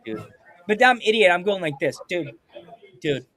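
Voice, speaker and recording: A man hosting a casual podcast chat, talking in an excitable, repetitive way and saying dude constantly. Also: sits high, moderate pace, no echo. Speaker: podcast host.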